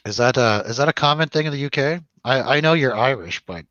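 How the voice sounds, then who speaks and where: A man's voice: medium pitch, fast, bright, forceful tone, no echo, dull audio. A man hosting a casual film review chat, rambling and jokey, putting questions to his co-host. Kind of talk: casual chatty film discussion over drinks.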